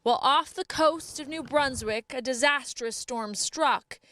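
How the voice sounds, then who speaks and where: A woman's voice: high-pitched, measured pace, sharp tone, no room sound. A woman narrating a scripted television news feature as a reporter.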